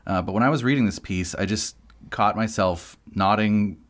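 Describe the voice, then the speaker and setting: A man's voice: fairly low pitch, normal speed. A man co-hosting a podcast in casual chat, joking and teasing his co-host as he reads out the show's business.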